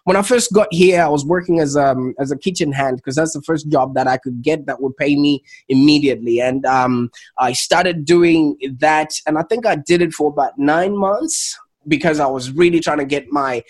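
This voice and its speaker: Male interview guest, Zimbabwean accent. Higher-than-average pitch, conversational personal storytelling. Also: moderate pace. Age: adult, 28 or older. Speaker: interview guest, entrepreneur and coach.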